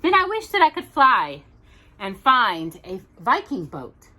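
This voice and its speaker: A woman's voice: fairly high pitch, wildly swooping pitch, unhurried, sharp tone, heavily acted. A woman reading a children's storybook, voicing an imaginative, playful little boy bear cub who exclaims in wide-eyed earnest. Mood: wishful.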